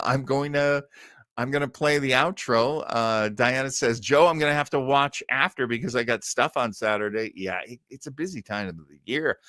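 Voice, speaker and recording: A man's voice: medium pitch, fairly bright tone, no room sound, clean studio sound. A man hosting a livestream in a casual, rambling, jokey way, often interrupting himself. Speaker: livestream show host.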